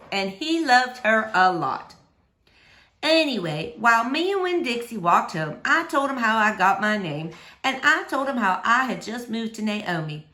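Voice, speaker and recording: Animated woman reading a story, echoey room. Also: fairly high pitch. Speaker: teacher reading a novel aloud to children. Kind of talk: expressive scripted children's story narration. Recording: roomy, echoey indoor sound.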